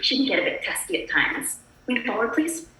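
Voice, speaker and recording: A woman's voice: fairly high pitch, sing-song, fairly quick, pushed and bright. A woman playing an anxious, polite suburban housewife in a screenplay table read, prim and chirpy. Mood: fussy, apologetic. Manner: prim, apologetic, fussy. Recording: echoey room.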